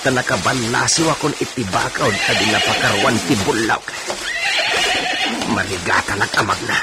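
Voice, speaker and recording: An actor with an Ilocano accent playing a hot-tempered, imperious landowner and husband in a radio comedy-drama, exclaiming indignantly. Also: male voice, mid-range voice, normal speed, over loud music, close to an everyday voice. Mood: secretive, muttering.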